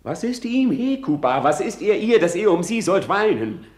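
An actor playing a tormented, self-loathing prince in a classical radio drama, declaiming in a way that swings between whispers and outcries. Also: male voice, high-pitched, very animated, moderate pace, darkish tone. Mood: anguished. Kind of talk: classical stage-style Shakespeare radio drama monologue. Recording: roomy, echoing radio drama studio.

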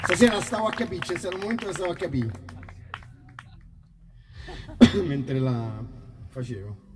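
A man's voice: fairly high pitch, moderate pace, very noisy. A man, a musician chatting informally between songs at a live concert. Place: live outdoor festival concert in a park. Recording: distant live concert recording with guitar. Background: guitar music.

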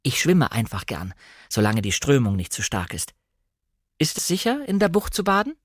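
A man's voice: mid-range voice, wildly swooping pitch, normal speed, dry recording, clean studio sound, pitched above the performer's own voice. A man voicing a timid, polite young wife who is eager to please, in a dramatic novel reading.

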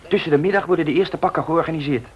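A man's voice: on the high side, rapid-fire, lo-fi sound, close to an everyday voice. Hesitant young errand boy, acted by a man. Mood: uneasy. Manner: hesitant, respectful. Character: hesitant, deferential young male errand boy, conscience-troubled. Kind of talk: Dutch radio play acting.

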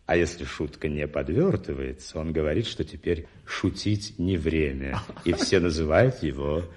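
An actor playing a wry upper-class club gentleman in a radio play, talking in a dry, knowing, measured way. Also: a bass voice. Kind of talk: 1980s Soviet radio play acting.

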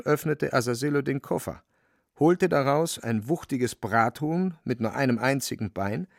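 A man's voice: mid-range voice, creaky, no room sound, studio quality. A man narrating a radio play in a wry, dramatic literary storytelling manner.

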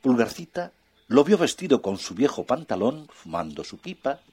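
A man narrating a children's fairy tale in an expressive, soft-spoken way. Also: medium pitch, moderate pace, a little hiss or hum. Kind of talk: children's storytelling.

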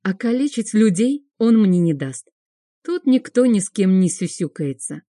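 Woman for first-person fiction narration: warm, mellow tone, very dry close studio sound. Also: mid-range voice, expressive, normal speed, no echo. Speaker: audiobook narrator.

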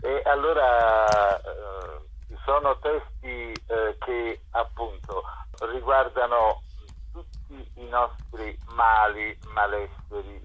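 Slow, husky man on a muffled phone. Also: medium pitch. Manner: deliberate, hesitant, pausing between phrases. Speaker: festival curator, interview guest. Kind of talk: measured phone interview. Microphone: telephone. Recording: dull, muffled telephone line.

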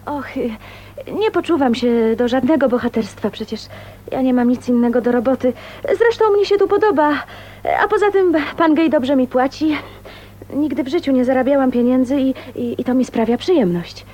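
An actress playing a kind, spirited, gently defiant young unmarried woman in a radio drama, earnest and tender. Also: sits high, moderate pace. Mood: bright, frank. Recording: faintly noisy old radio recording.

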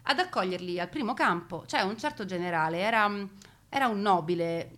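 A woman narrating a family wartime story as a theatrical monologue, with dramatic pauses and deliberate emphasis. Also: medium pitch, expressive, a bit bright. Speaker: stage narrator telling grandfather's wartime story.

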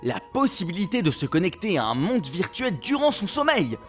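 An actor playing an excitable, gushing male presenter of a video game radio show in an audio drama. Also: high voice, moderate pace, soft background music, heavily acted. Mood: curious, admiring.

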